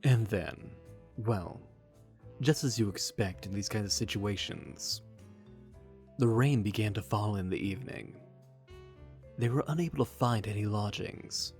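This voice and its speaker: A man playing a deadpan, stoic teen boy. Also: lowish voice, a little slow, long pauses, faint music behind. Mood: calm. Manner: flat, terse deadpan. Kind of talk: fan audio drama dub.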